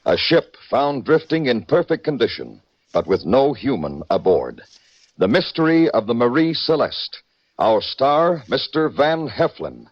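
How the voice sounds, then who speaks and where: A man's voice: mid-range voice, very animated, unhurried, no echo. A man announcing a 1950s radio suspense show in a portentous, dramatic style. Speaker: programme announcer. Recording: dull vintage radio recording with music.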